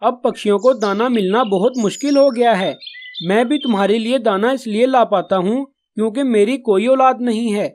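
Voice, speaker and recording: A man's voice: very high voice, moderate pace, a little mellow. A man voicing a caring, responsible male pigeon in a children's cartoon, speaking in an earnest, explanatory way. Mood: grave, worried. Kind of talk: Hindi children's cartoon dialogue.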